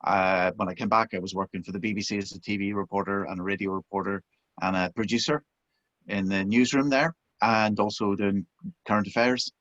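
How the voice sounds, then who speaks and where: A middle-aged man with a Belfast accent chats in a relaxed, self-deprecating way, often restarting his sentences. His voice sits on the low side. The sound is dry and a little dull, like a remote call. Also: a little fast, no room sound. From Northern Ireland. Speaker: author and former broadcast journalist. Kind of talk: relaxed interview chat.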